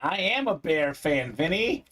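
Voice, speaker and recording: A man's voice: a little high, sing-song, measured pace, a bit bright, husky. A Bulgarian-born man hosting a live sports card break, chatting casually and reading out viewer comments. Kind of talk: casual live-stream chat.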